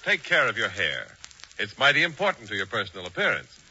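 Bright, forceful 1940s male radio announcer. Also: lowish voice, wildly swooping pitch, a little fast, slightly rough. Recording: muffled 1940s radio broadcast, music and audience behind.

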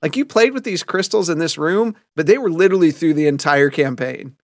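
A man's voice: on the high side, expressive, fairly quick, no echo, clean studio sound. A man acting as game master, chatting casually and rambling through explanations of a past campaign. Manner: rambling explanations with many restarts. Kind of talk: casual stream chat about a past campaign.

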